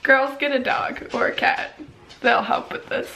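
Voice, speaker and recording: A young woman talking casually and candidly in a personal daily vlog, rambling a little and hoarse from a long day of talking. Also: high-pitched, steady tone, measured pace, bright, forceful tone, a little hiss or hum. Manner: rambling, candid, self-deprecating. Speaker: vlogger.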